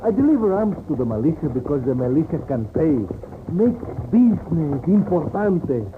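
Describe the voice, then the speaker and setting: An actor playing a cheerful, mercenary Mexican gambler with a flowery manner and a Mexican accent in an old radio western. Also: male voice, on the high side, big pitch swings, moderate pace. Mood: breezy, sly. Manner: talks of himself in third person, flowery. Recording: narrow-band old radio recording, noisy.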